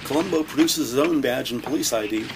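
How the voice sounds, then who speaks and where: A man reading scripted audio drama narration in a measured, descriptive way. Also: medium pitch, gravelly, background noise.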